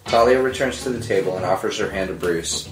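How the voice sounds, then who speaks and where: A man's voice: low voice, brisk. A man narrating scripted screenplay directions at a table read. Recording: roomy echo under dramatic score music.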